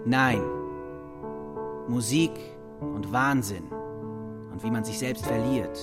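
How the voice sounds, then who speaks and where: Brooding songwriter guy, acted by a man, talks slow, loud music under him, radio play. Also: mid-range voice, natural voice. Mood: brooding, emphatic. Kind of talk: German radio play acting. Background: loud guitar and piano music.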